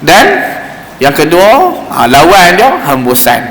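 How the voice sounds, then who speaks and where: A man with a Malaysian Malay accent teaching Quran recitation in an informal, explanatory way, slowly demonstrating sounds as he goes. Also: fairly high pitch, very animated, fairly bright tone. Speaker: religious teacher giving Quran recitation class. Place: mosque hall. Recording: roomy echoing hall, faint background noise.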